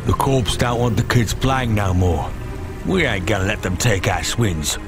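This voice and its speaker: A man's voice: on the low side, animated, measured pace, over music. An actor playing a brash, good-hearted male street gang member in a video game, cocky and full of slang. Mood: defiant.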